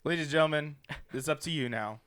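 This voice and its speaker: A man's voice: fairly high pitch, fast, a bit bright, clear, resonant tone. A man hosting a casual show, moving between announcer-like flourishes and playful, teasing banter. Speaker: video game show host.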